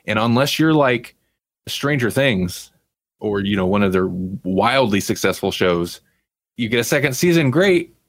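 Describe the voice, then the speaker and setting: A man's voice: mid-range voice, lively intonation, a little slow, no room sound, clean studio sound. A man hosting a casual podcast about television, talking in a conversational way with rambling explanations. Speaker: podcast host. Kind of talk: casual TV review chat.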